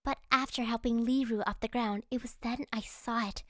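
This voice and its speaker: A woman's voice: a little high, steady tone, moderate pace, fairly bright tone, no echo, clean studio sound. A woman reading scripted first-person fantasy narration as an audiobook narrator.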